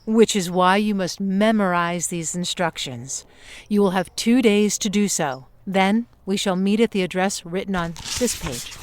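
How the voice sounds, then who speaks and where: A woman's voice: medium pitch, a little slow, slight background noise, close to an everyday voice. A woman acting in an audio play as a composed, mysterious recruiter who speaks formally and precisely. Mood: measured, instructive. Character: composed, formal, mysterious woman running secret time-travel missions.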